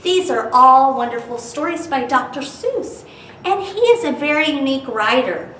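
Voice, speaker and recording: A woman's voice: high voice, lively intonation, moderate pace, a bit bright. A woman hosting a library storytime, talking directly to children and prompting them with questions as she introduces and reads stories aloud. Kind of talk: children's storytime talk and expressive read-aloud. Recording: roomy, distant mic with faint hiss.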